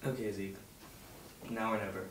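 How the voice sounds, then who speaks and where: A young man reading the part of a dejected, bitter high school boy at a drama table read, far from the mic. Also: flat delivery, normal speed, close to an everyday voice. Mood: steeling himself. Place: home dining room. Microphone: far camera mic. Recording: distant mic, echo, loud noise.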